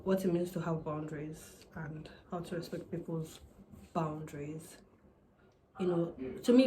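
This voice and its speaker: A young Nigerian woman with a native Yoruba lilt talks candidly to camera in a relaxed sit-down chat, slipping into quick asides. Her voice sits on the lower side. A faint hum of room noise stays behind her. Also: low-quality recording. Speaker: vlogger. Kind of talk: casual sit-down advice chat.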